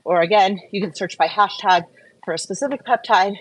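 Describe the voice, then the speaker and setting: A woman's voice: medium pitch, a little fast, rough recording. A woman hosting a casual live Q&A, talking in an informal, rambling, chatty way. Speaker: live-stream host.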